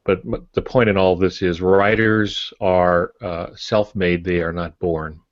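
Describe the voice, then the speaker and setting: A man's voice: deep voice, steady tone, a little slow, no echo, highs cut off. A man speaking as a podcast co-host, explaining his thoughts in a reflective, casual way. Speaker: podcast co-host discussing writing.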